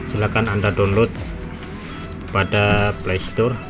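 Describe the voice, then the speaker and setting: Low-voiced man explaining step by step. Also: level tone, takes it slowly. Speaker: gadget reviewer. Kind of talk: tutorial voice-over. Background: background music.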